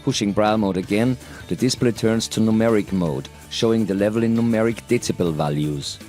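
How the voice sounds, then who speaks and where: A middle-aged man gives a formal, deliberate scripted presentation into a headset mic in a small studio. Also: on the low side, a little mellow, soft background music. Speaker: presenter, audio educator. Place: small home studio, indoors.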